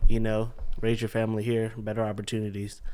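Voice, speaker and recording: A man in his twenties from the Philippines, a podcast guest talking in a relaxed, friendly way and often saying man. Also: fairly low pitch, quick pace, clean tone. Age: 20s. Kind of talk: casual interview.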